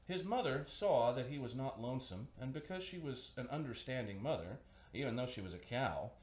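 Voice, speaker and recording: A man narrates a children's storybook with a very animated delivery. His voice sits at a middle pitch, and the room around him is audibly echoey. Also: fairly quick. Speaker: storybook reader, host.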